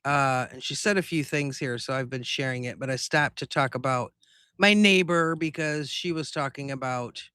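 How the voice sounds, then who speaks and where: A man's voice: mid-range voice, sharp tone, smooth, no room sound, clean studio sound. A man hosting a live stream in a casual, chatty style, telling things in a rambling, conversational way.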